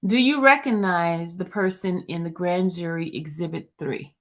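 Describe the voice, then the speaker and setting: A woman reading a male prosecutor's questions aloud in a formal, measured manner. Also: on the low side, close to an everyday voice.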